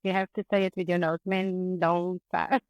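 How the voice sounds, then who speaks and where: A woman's voice: fairly low pitch, little pitch movement, moderate pace, smooth, no room sound. A middle-aged woman from Angola, a guest speaking casually in a podcast interview, often restarting her phrases. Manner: warm, restarts phrases often, laughs easily. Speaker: guest, dance teacher.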